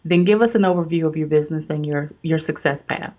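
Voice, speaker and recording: A woman's voice: deep voice, quick pace, phone-quality sound. A woman hosting a motivational interview show in an enthusiastic, effusive manner. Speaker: podcast interview host.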